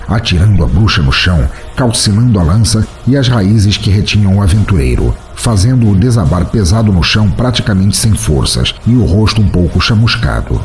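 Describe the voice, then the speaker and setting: A man's voice: on the low side, darkish tone, a little hiss or hum. A man with a Brazilian accent reading a scripted fantasy story as narrator.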